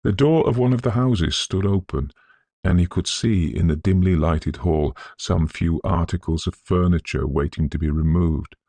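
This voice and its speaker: A man reading a Victorian ghost story in a scholarly, measured, atmospheric manner. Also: low voice, mellow, dry recording. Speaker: narrator.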